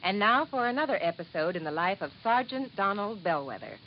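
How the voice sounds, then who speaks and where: A woman's voice: mid-range voice, very animated, brisk, natural voice. An actress playing a chatty policeman's wife in an old radio safety sketch, earnest and cheerfully instructive. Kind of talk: 1950s radio public service sketch. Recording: muffled old radio, loud noise behind.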